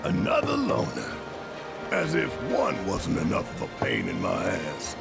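Gruff grumbling rebel: heavy gravel, wildly swinging pitch, combative and sarcastic, loud music behind. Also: male voice, huge pitch swings, a little slow, exaggerated voice. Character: big gruff hot-tempered anti-corporate rebel, grumbling tough guy. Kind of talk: video game cutscene acting.

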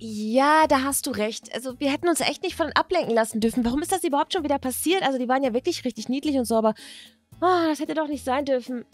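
A woman voicing an excitable, anxious teenage schoolgirl in a toy-video story, chatty, slangy and dramatic. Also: high-pitched, fast talker, dry recording, faint music behind, lightly acted. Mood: dejected.

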